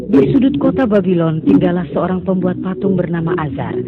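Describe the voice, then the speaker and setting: A man narrating a cartoon story in a steady, dramatic storytelling manner. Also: high-pitched, brisk, over a noisy crowd. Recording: tinny phone-like sound under music.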